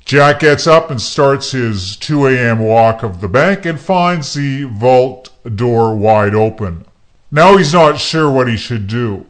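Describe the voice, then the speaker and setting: Slow-paced male radio-play narrator. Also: mid-range voice, animated.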